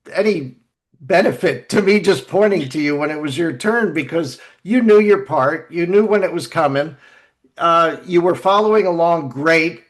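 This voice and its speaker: A man hosting a podcast in casual discussion, speaking in a halting way and repeating phrases as he goes. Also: a little high. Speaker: podcast host.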